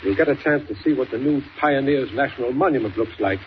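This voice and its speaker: An old, hissy radio drama recording. A man plays a bluff, hearty governor with a middle-pitched male voice, brusque, folksy and used to giving orders. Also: fast talker, dull audio, close to an everyday voice. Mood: decisive, brusque. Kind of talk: 1940s radio acting.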